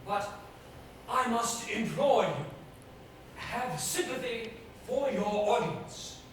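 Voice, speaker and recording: Male actor as imperious theatrical tutor, high-pitched and declamatory, stage play heard in a very echoey hall. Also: animated, full character voice. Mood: grandly instructing. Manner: pompous, declamatory, deliberate diction. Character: imperious, exacting male acting coach with grand theatrical flair. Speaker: stage actor in an amateur two-man play. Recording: very echoey theater, distant mic, noisy.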